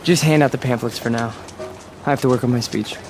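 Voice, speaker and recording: A man acting in a radio drama as a nervous, fanatical would-be demagogue with a halting, insistent delivery. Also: mid-range voice, fairly even intonation, over music, close to an everyday voice. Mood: uneasy. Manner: stammering, halting, then ranting.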